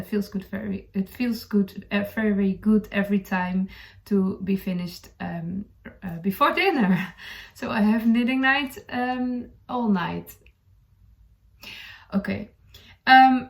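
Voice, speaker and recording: Dutch woman chatting on her hobby podcast, bit of vocal fry, mid pitch, lots of pauses hunting for words. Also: fairly bright tone. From Netherlands. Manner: hesitant, searching for words, chuckling now and then. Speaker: knitting podcast host. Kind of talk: casual chat to camera.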